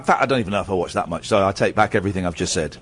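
A man's voice: low-pitched, rapid-fire, fairly bright tone, a little hiss or hum. A middle-aged man hosting a radio show, chatting with a caller in a rambling, self-mocking way with lots of restarts. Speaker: radio host. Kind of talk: casual radio chat with callers.

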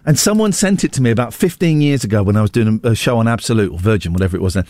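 A man with an English accent presenting a casual radio show in an excitable, rambling, jokey way. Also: lowish voice, very fast, no room sound. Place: radio studio.

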